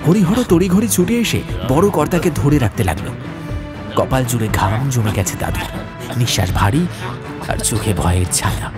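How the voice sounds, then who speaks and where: A man's voice: mid-range voice, animated, normal speed, over loud music. A man narrating a horror audiobook in a dramatic, suspenseful, theatrical manner.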